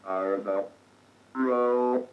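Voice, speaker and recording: A synthetic male robot voice from a vintage computer speech synthesizer, reciting lyrics in a flat, stilted, mechanical way with a buzzy tone. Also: medium pitch, very slow, highs cut off, soft background music.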